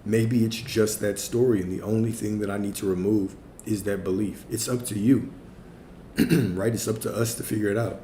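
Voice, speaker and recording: A man's voice: lowish voice, steady tone, normal speed, dark tone, reverberant, a little hiss or hum. A man speaking as a spiritual coach on a livestream, answering viewer questions in a reflective way, his voice a little worn from talking all day. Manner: frequent tag questions. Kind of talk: livestream Q&A talk.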